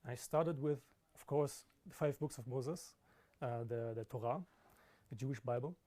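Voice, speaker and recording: A man recounts in an interview how he came to Judaism, speaking in an animated, emphatic way. His voice is warm and of middle pitch. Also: moderate pace. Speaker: student. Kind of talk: interview answers.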